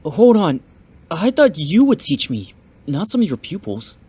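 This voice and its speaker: A man's voice: fairly high pitch, sing-song, unhurried, warm tone, slightly rough, exaggerated voice. A man playing an earnest, determined young martial arts student in an audio drama, with an emphatic way of speaking. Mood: surprised.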